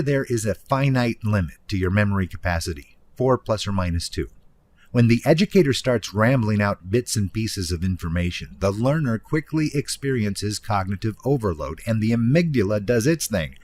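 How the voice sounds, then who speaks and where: A man reading a nonfiction audiobook in an instructive, emphatic way, stressing key phrases deliberately. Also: on the low side, a little mellow. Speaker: author narrating own audiobook. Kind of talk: scripted nonfiction audiobook narration.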